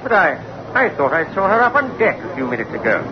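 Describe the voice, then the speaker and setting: Chummy fellow passenger, jovial, highish lively voice, old radio drama, very noisy recording. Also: male voice, a little fast, lo-fi sound. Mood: jovial, surprised. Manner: jovial, breezy, old-chap phrasing. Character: hearty, chummy old pal met aboard ship.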